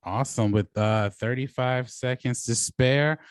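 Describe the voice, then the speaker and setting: A man's voice: medium pitch, a little slow, smooth, no echo, studio quality. A man moderating a debate show in a casual, affable way, often chuckling as he talks. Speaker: debate show host and judge.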